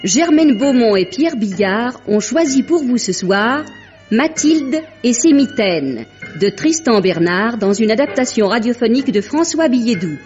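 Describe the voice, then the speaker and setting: High-voiced woman announcing over music. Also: lively intonation, a little slow, a little mellow. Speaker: radio drama announcer. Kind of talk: formal scripted programme credits. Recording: dull vintage radio recording with music. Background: prominent music.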